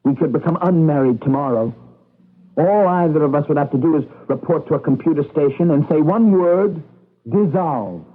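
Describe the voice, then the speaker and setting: A man's voice: a little high, expressive, narrow, tinny audio. An actor in a 1980s radio drama playing a restless, disillusioned young husband, hesitant and defensive in his talk. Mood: bitter, defensive. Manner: hesitant, defensive, with halting stammered starts. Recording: band-limited old radio broadcast, music underneath.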